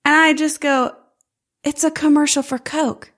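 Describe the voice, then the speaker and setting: A woman co-hosting a casual chat, telling stories with a loud, carrying voice and frequent laughter. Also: high voice, measured pace. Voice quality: loud voice that carries. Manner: animated storyteller who laughs often. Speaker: podcast co-host.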